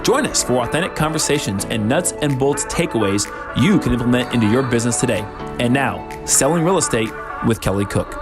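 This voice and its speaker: A man with a mid-range voice reads a podcast intro in a smooth, promotional manner. Clear music plays underneath his voice. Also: mid-range voice, lively intonation, brisk. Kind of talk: scripted show intro.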